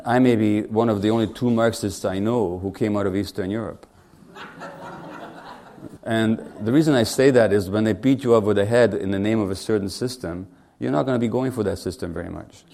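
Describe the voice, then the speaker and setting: Brief: man, 60s, from Hungary, physician at a podium, wry and conversational, warm low voice. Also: brisk. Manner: conversational, wry, asks the audience questions. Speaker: physician giving a public lecture. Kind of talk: public speech to an audience.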